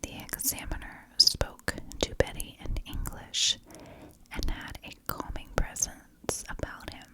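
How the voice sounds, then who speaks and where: A woman narrating a story as an ASMR host, whispering in short, deliberate phrases. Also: low-quality recording.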